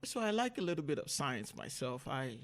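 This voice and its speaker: A woman's voice: deep voice, very animated, brisk. Woman hosting a casual interview. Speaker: podcast host, interviewer.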